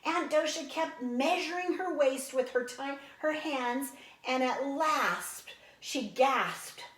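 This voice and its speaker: A woman's voice: high voice, slow pace. A woman reads a storybook aloud, breaking off for casual explanations to young listeners. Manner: explains to young listeners, animated sound imitations. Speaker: read-aloud teacher. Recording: echoey room.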